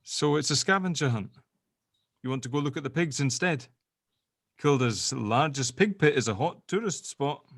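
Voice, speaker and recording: A man acts out a fatherly, middle-aged dad in an audio drama, protective and a little awkward. His mid-pitched voice rambles and hesitates, recorded dry. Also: lively intonation, normal speed, fairly bright tone, no echo. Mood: chatty, teasing. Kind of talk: table read of an audio drama script.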